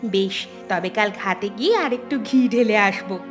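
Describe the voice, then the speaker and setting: A woman playing a bossy, resentful, scheming village mother who scolds in an animated story. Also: medium pitch, moderate pace, soft background music, lightly acted. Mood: spiteful. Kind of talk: Bengali animated story dialogue.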